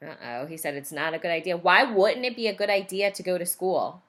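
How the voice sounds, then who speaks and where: A woman's voice: on the low side, fairly quick, a bit bright. A woman teacher reading a picture book aloud to young children, explaining and asking questions along the way.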